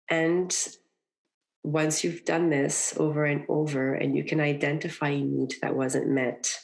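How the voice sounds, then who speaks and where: A woman from Egypt, a guest on a podcast, talking earnestly and reflectively in a relaxed interview, often adding "you know" and "right". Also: low-pitched, creaky, poor audio. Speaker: podcast guest, parenting coach. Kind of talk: conversational interview.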